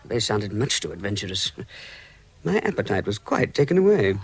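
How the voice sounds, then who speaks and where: A man playing a timid, polite hobbit gentleman in an audio drama, stammering, fussy and apologetic. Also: lowish voice, very animated, normal speed, slightly rough, heavily acted, poor audio. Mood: rueful recounting. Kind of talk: audio drama acting and first-person narration.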